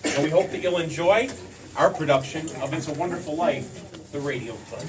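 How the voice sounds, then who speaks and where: Higher-pitched man, slightly distant, with audience. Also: moderate pace. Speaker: host introducing a stage show. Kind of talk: casual address to live audience. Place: theater stage before live audience. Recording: distant mic, noisy audience room.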